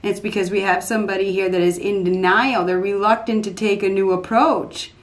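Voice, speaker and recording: A woman in her fifties giving an unscripted tarot reading at a desk in a small dark room, repeating herself emphatically as she thinks aloud. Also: mid-range voice, steady tone, brisk. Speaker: tarot reader.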